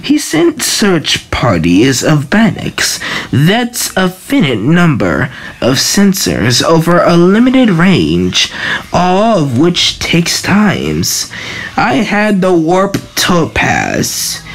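A woman's voice: fairly low pitch, huge pitch swings, very slow, full character voice, low-quality recording. A woman voicing a devoted, obsessive lab assistant to a villain, deferential and earnest, in an amateur comic dub.

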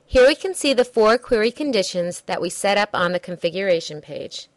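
A woman reading a scripted software demo narration in a measured, instructional way. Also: medium pitch, animated, dry recording.

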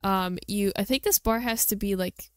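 A woman's voice: medium pitch, moderate pace, clean tone, dry recording, studio quality. A woman hosting a gaming video, giving casual, chatty commentary as she shows things off. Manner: chatty and informal. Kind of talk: casual game showcase commentary.

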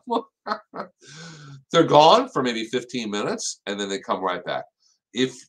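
Middle aged american guy, slightly low voice, casually answering questions as host. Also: fairly bright tone. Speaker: host, extension plant expert. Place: small indoor office.

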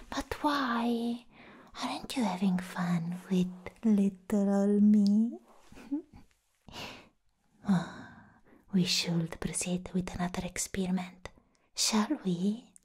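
A young woman playing a mad, playful villainess in a breathy, whispered ASMR roleplay, teasing and menacing. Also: mid-range voice, warm tone, heavily acted. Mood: playful, scheming. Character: mad, playful female comic-book villain, giddy and menacing.

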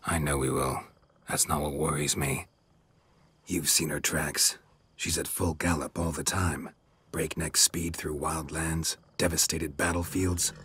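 A brooding monster hunter from a game, super low raspy voice, terse and stoic. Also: male voice, fairly even intonation, unhurried, fairly bright tone, no room sound, heavily acted. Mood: brooding, worried. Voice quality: very low, husky rasp. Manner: terse, understated. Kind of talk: video game dialogue acting.

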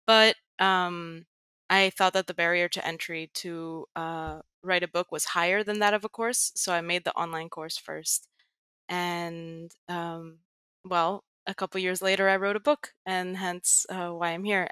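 A young woman, Greek-born with a slight American accent, speaking as an interview guest over a video call headset, measured and pausing with frequent ums. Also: on the low side, pushed and bright, smooth, dry recording. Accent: American-leaning international. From Greece. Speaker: guest, tech writer and educator. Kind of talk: casual interview talk. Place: home room with plain wall.

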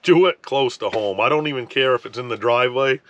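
A man's voice: medium pitch, a little fast, muffled recording. A man in his thirties talking casually to camera from inside an ice fishing tent, rambling with restarts and filler words. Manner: relaxed, rambling, frequent restarts and "you know". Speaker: fishing vlogger. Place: ice fishing tent on a frozen lake, wind outside.

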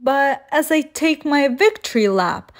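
A woman's voice: high-pitched, lively intonation, measured pace, pitched above the performer's own voice. A woman voicing a cheeky, boastful little gingerbread man in a children's storybook, playful and taunting in sing-song rhyme. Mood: gleeful boasting. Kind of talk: children's storybook read-aloud.

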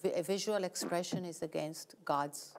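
Israeli-accented woman, measured and articulate. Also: lowish voice, steady tone. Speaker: novelist, guest. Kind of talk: explanatory interview answers. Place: TV studio set.

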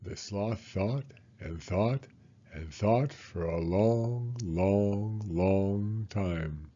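An older man reading a children's picture book aloud deliberately, with pauses between words. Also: lowish voice, warm tone, dull audio. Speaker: storyteller.

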